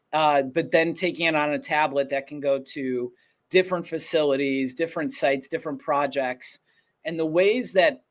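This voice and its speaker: A man hosting a live webinar with a slightly raspy voice, presenting a product in a loose conversational way with restarts. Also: on the high side.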